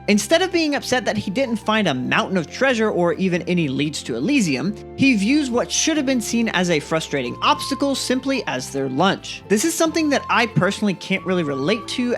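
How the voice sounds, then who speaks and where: A woman narrates a scripted analysis with a lively, swinging delivery at a middle pitch. She drops in casual, chatty asides, and light music plays behind her. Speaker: video essay host. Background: background music.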